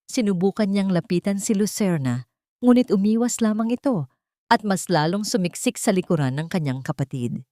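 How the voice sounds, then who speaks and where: A woman with a Filipino accent narrating a scripted dramatic love story in a solemn, measured storytelling manner. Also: mid-range voice, expressive, dark tone, no room sound, clean studio sound.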